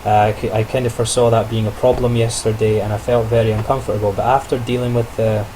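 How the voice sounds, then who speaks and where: A young man records a casual video diary, his voice low and fairly monotone as he reflects on the day with small laughs and restarts. An air-conditioning hum runs steadily behind him in the quiet indoor room. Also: fast talker, low-quality recording. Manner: chatty, self-correcting, reflective, laughs lightly. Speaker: trainee teacher on placement.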